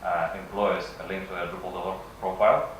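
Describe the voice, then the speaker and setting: A man's voice: low voice, flat delivery, a little fast. Russian guy doing a conference talk, kinda hesitant, really distant mic w/ big room echo. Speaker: conference speaker. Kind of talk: conference presentation, semi-improvised. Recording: echoey room from far off, faint noise.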